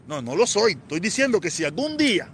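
A Cuban man in his forties talks in a rambling, repetitive way and answers comments as they come in. His voice sits a little high for a man. A faint noise runs underneath. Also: big pitch swings, a little fast. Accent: Cuban, Havana. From Cuba. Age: 40s. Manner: rambling, repeats himself, answers viewer comments. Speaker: live streamer. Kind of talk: casual live stream chat.

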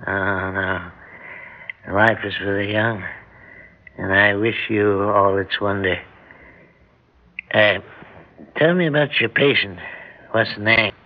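An actor playing an elderly, ailing male doctor, sharp, proud and dryly wry, in an old-time radio drama. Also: fairly low pitch, slow pace, long pauses. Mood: probing. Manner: probing, commanding, dryly wry. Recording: dull lo-fi old radio recording.

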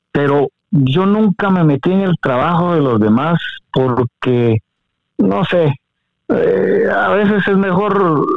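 A middle-aged Guatemalan man, a veteran broadcaster, reminiscing in an interview in a measured, courteous way. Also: on the high side, creaky, no room sound. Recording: dry, narrow phone-like line.

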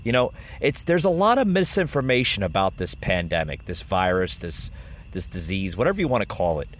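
A man's voice: deep voice, very animated, fairly quick. A man hosting an opinion podcast, talking emphatically and often calling his listeners folks. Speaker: podcast host. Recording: dull lo-fi sound with faint noise.

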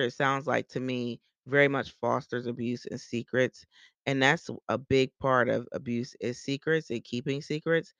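A man's voice: medium pitch, gravelly, fairly bright tone, clear, resonant tone, no echo. A man hosting a gossip podcast, talking in a blunt, opinionated way with frequent swearing and rambling restarts. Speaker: podcast host. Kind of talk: casual gossip commentary.

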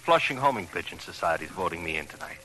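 A man's voice: deep voice, big pitch swings, quick pace, pushed and bright, heavily acted. An actor playing an effusive, evasive retired crook who dotes on his pigeons and repeats his words, in an old radio crime drama. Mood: uneasy, evasive. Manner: effusive, repeats words, coos over birds. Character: jovial, slippery ex-con pigeon fancier, doting and evasive. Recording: noisy old radio recording.

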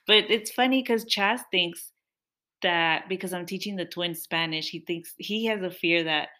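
A woman's voice: lowish voice, a little slow, bright, forceful tone. Chatty, laughing young woman. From Mexican-American family. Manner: chatty, laughs often, playful complaining. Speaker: podcast co-host. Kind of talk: casual chat with partner.

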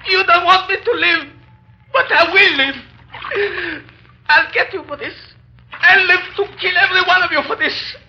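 An actor playing a starving, half-crazed convict ranting threats in an old radio drama. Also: male voice, very high voice, a little slow, sharp tone, a little hiss or hum, full character voice. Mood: vengeful, hysterical. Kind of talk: 1930s radio acting. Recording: dull old radio recording.

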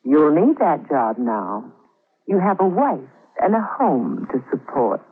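A cool, scheming wife in a classic radio drama. Her delivery is brisk and sardonic, sliding into gracious false sweetness with wide swings of pitch. It all comes through a narrow, muffled old broadcast recording. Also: sing-song, husky, heavily acted. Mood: cold, determined.

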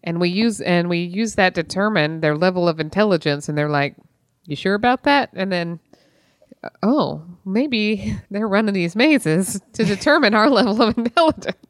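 A woman's voice: medium pitch, lively intonation, normal speed, dry recording, studio quality. An American woman co-hosting a podcast, giving her opinions on books in informal, mildly sweary chat. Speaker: podcast co-host. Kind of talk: casual book club chat.

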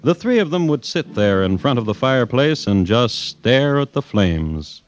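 Actor as lively-pitched, wry radio crime storyteller. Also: male voice, moderate pace, close to an everyday voice. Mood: droll. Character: wry, genteel storyteller of historical crime cases. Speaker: host and narrator. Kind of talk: 1950s radio crime drama narration. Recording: dry, dull vintage radio recording.

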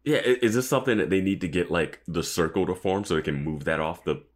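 A man co-hosting a podcast in casual recap chat, animated and incredulous, often acting out imagined lines. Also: sits low, fast talker. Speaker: podcast co-host.

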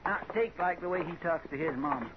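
A man's voice: on the high side, a little fast, natural voice. A man playing a folksy, talkative, deferential frontier deputy with a drawling rural American accent in a 1950s radio western. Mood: indignant. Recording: muffled, very noisy old radio broadcast.

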